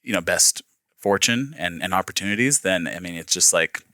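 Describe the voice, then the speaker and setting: A man's voice: sits low, animated, normal speed, sharp tone, no echo, studio quality. A young man from Peru, talking frankly as a podcast guest and chuckling often. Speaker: podcast guest, real estate agent. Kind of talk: casual chat.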